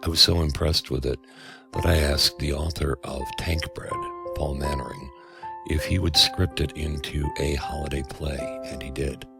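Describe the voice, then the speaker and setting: A man with an extremely deep, gravelly voice hosting and narrating an audio drama in a measured tone. Music plays clearly beneath his words. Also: steady tone. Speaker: host and narrator.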